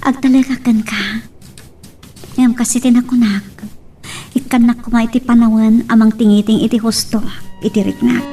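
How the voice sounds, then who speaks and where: A woman's voice: fairly high pitch, little pitch movement, moderate pace, long pauses, over music, lightly acted. A woman with an Ilocano accent playing a heartbroken, sincere young woman in an amateur romantic audio drama. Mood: sorrowful, tender.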